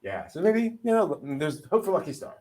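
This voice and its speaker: A man's voice: a little high, big pitch swings, normal speed, warm tone. A man hosting an anime news show in casual panel chat, often chuckling as he talks.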